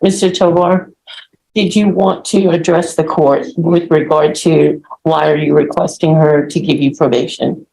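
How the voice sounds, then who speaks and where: Woman, defense attorney, brisk, gravelly, dark. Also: deep voice, flat delivery, poor audio. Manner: brisk, objecting. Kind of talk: courtroom objections. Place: courtroom.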